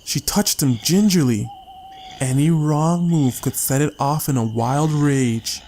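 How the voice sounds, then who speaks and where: A man's voice: fairly high pitch, takes it slowly, mellow, a little hiss or hum. A man narrating a story as a scripted, dramatic audiobook reading.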